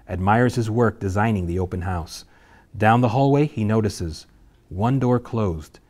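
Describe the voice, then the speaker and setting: A man narrates the action lines at a script table reading. His voice sits low, and he reads with steady, vivid pacing. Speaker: narrator reading stage directions.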